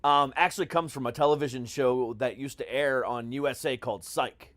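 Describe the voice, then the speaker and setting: A man's voice: medium pitch, a little fast, a bit bright, no room sound. Brash American man hosting a podcast, dry close sound. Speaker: podcast host. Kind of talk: casual, profane podcast talk.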